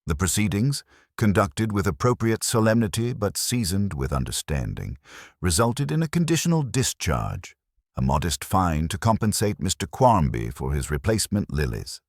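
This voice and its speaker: A man reading scripted story narration in a measured, formal way, like an audiobook. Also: low-pitched, dry recording, studio quality.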